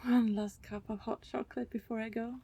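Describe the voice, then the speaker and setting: A soft-spoken female vampire neighbor, acted by a woman in an intimate ASMR roleplay, friendly and fussing but keeping secrets. The voice is mellow and breathy with plenty of fry, often sinking toward a whisper, and the recording is very dry and close. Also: a little high, little pitch movement, brisk, no echo. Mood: grateful, hushed.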